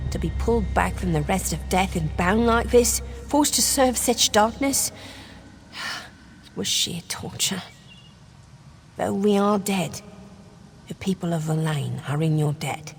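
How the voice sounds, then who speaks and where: A woman's voice: on the low side, a little mellow. A woman playing the grateful, solemn ghost of a long-dead villager in a video game. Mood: anguished. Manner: earnest, solemn. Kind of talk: video game character dialogue. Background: music.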